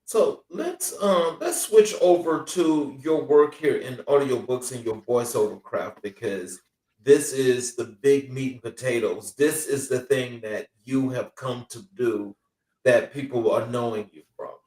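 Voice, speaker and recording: A male host asks his guest questions in a measured, hesitant way. His voice sounds set back from the mic. The room around him is echoey. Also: medium pitch, a little mellow. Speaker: podcast host. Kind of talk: interview questions. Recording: roomy, distant mic.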